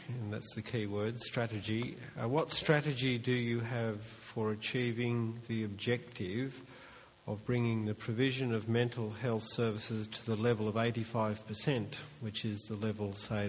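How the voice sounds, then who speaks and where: A man putting a prepared question to a panel at a public forum in a deliberate, emphatic way. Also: lowish voice, fairly even intonation. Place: large indoor hall, public forum. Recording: echoey hall with background noise.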